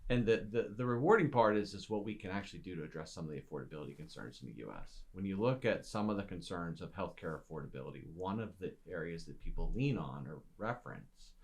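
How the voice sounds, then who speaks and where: A man from West Virginia who leads a business unit, answering interview questions with brisk, businesslike confidence. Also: low voice, animated, gravelly. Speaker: guest, business unit lead.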